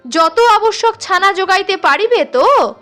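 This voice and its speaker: A woman's voice: very high voice, expressive, measured pace, sharp tone, soft background music, voice pushed up, full character voice. A woman voicing an indignant, insistent male wedding guest with a raised voice in a story. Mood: demanding. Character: indignant, demanding men of a groom's wedding party.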